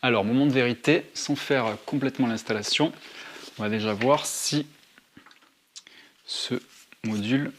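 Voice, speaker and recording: A man's voice: medium pitch, unhurried, long pauses. A man narrating a casual hands-on tutorial to his viewers, thinking aloud as he handles things. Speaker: motorcycle vlogger presenting gear. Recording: clear background noise, handling clicks.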